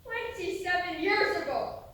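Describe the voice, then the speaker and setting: A woman plays an anxious, stubborn young emigrant with an extremely high voice. The insistent stage delivery rings through a very echoey hall, distant from the mic. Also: expressive, unhurried, bright, forceful tone, slight background noise, full character voice. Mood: anxious, agitated. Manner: insistent, agitated. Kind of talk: stage play acting. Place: theatre stage, recorded from a distance.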